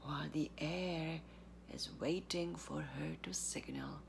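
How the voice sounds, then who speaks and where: Very slow, gravelly low-voiced woman reading poetry. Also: noisy background. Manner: deliberate, measured phrasing with long pauses. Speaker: artistic director of a theatre, reading aloud.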